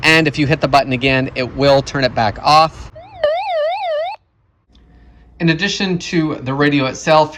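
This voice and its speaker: A man presents a product in a casual review style. His voice sits at a medium pitch for a man. He explains each feature plainly, one step at a time. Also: a bit bright.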